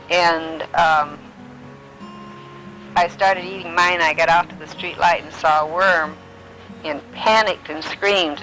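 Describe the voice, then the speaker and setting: An elderly woman from Illinois in her nineties, gently recalling her life in an unhurried way, often pausing with um and uh. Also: sits low, poor audio. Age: older. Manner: gentle, unhurried storytelling with many ums and uhs. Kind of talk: reminiscing, answering memory prompts.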